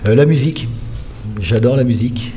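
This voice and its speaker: A man's voice: medium pitch, a little fast, mellow. A middle-aged man from the Paris region, answering radio interview questions conversationally and often searching for words. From Paris region, France. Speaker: handball coach being interviewed. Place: radio studio.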